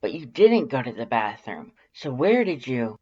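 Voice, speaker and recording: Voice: mid-range voice, big pitch swings, moderate pace, darkish tone, breathy edge, muffled recording. A narrator voicing a brash, smug female fish monster in a story reading, mocking and pushy. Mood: threatening, suspicious.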